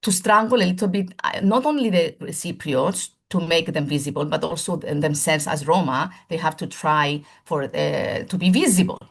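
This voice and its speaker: A woman's voice: low voice, normal speed, gravelly, hardly any pauses, husky. A woman, a primary school teacher, giving a seminar presentation in formal lecture phrasing, earnest and often restarting as she searches for words.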